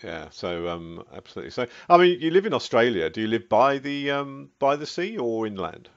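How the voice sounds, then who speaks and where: A man's voice: lively intonation, a little fast. A man hosting a casual interview, chatty and upbeat in his questions. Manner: chatty, jumps up in pitch on questions. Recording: muffled lo-fi recording.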